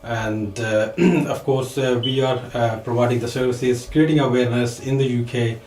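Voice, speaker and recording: A man from Pakistan, a financial advisor guest on a talk show, speaking hesitantly with many fillers and repeated words and clearing his throat often. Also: medium pitch, fairly even intonation, moderate pace, darkish tone, reverberant. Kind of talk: studio talk show interview.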